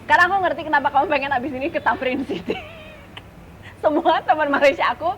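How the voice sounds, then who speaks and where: High-voiced Jakarta woman hosting amid loud ambience. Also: quick pace. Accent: Indonesian, Jakarta. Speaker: podcast host. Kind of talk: casual interview chat. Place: public park. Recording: noisy public park ambience.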